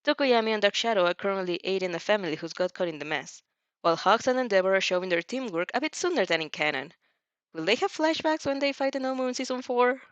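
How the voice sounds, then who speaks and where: A woman reads fan fiction aloud in an expressive, dramatic style, now and then tripping on a word and going back over it. Her recording is very dry and close, with a slightly dull, lo-fi tone. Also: mid-range voice, fast, dry recording. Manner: dramatic, stumbles over words and repeats them. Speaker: fan fiction reader and dubber. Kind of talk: expressive audio fanfiction narration with character voices.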